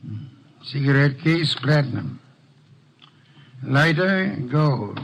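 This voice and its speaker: Bulky genius detective, imperious, sardonic, deliberate, fairly high male voice, vintage radio drama. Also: animated, vocal fry, fairly bright tone, exaggerated voice. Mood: probing, dry. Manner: dry, deliberate, sardonic, formal. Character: ponderous, imperious, brilliant bulky private detective. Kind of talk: 1940s-50s radio detective drama acting.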